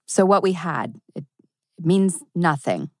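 A woman's voice: fairly low pitch, lively intonation, a little slow, no room sound, clean studio sound. A woman playing a resigned middle-aged old flame on the run, in a natural dramatic table read. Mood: tense.

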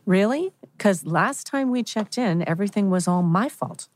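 A woman's voice: mid-range voice, lively intonation, moderate pace, darkish tone, close to an everyday voice. A woman playing a hurt, bitter, coolly sarcastic wife in a TV drama table read.

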